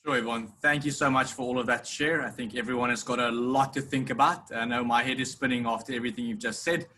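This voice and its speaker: A man's voice: medium pitch, steady tone, fast, pushed and bright, slight background noise. A man moderating an online conference panel, upbeat and full of restarts as he speaks, on a video call.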